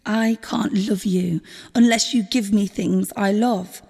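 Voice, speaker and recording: A woman's voice: medium pitch, steady tone, slow, gravelly, a little mellow, breathy edge, in a large hall, exaggerated voice. A woman acting a loving, exasperated girlfriend in an audio drama, emotional, pleading and informal, with some swearing. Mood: baffled, frustrated. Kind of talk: naturalistic audio drama dialogue.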